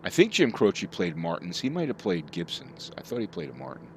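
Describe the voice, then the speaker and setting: A man's voice: deep voice, wildly swooping pitch, brisk, creaky, darkish tone, slight background noise. A middle-aged man chatting casually with his live chat while he plays, rambling from topic to topic. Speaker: streamer.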